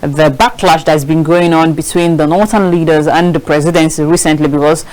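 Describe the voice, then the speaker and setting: A woman's voice: low-pitched, steady tone, a little fast, darkish tone, rough recording. A woman with a Nigerian accent hosting a live TV talk show from a studio, taking calls and questioning persistently. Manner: probing, persistent.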